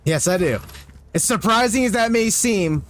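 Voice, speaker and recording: A man in his thirties talks through a live game stream with a lively, bouncing delivery, his voice sitting a touch higher than most men's. He chats freely with viewers, pokes fun at himself and yelps at whatever the game throws at him. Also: moderate pace, a little hiss or hum. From United States. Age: 30s. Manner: animated, self-mocking, reacts loudly to game enemies. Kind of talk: live gameplay commentary, chatting with viewers.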